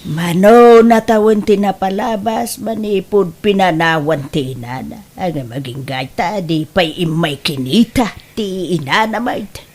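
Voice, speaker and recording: A woman's voice: fairly low pitch, unhurried, few pauses, darkish tone. A woman playing a doting elderly mother and grandmother in an Ilocano radio drama, tender and fussing. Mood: tender, wistful.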